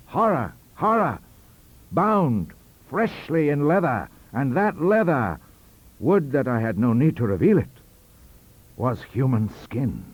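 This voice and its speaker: A man's voice: medium pitch, a little mellow, slightly rough, poor audio. A man narrating a ghost story in a theatrical, melodramatic manner. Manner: theatrical, melodramatic, wide-ranging delivery. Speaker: audiobook narrator.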